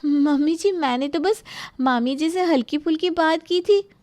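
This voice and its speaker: A woman's voice: high-pitched, measured pace, darkish tone, no room sound, voice pushed up. A woman voicing a gossipy, scheming young daughter-in-law who feigns innocence in a moral story. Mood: meek, apologetic.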